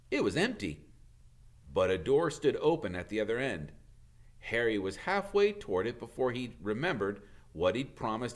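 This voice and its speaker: A man, a teacher, reading a storybook aloud with expressive narration. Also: fairly low pitch.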